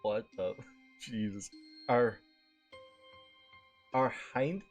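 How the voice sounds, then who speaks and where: A man's voice: mid-range voice, extremely slow, faint music behind. A male streamer reading a visual novel aloud with casual asides, stumbling over words and swearing casually.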